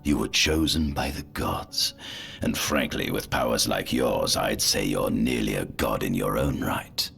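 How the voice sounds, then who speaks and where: An actor playing a sly, coaxing old male scientist-official in a video game cutscene, with gravelly, calculated persuasion. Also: very deep voice, unhurried, exaggerated voice. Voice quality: gravelly, creaky. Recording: loud orchestral music behind.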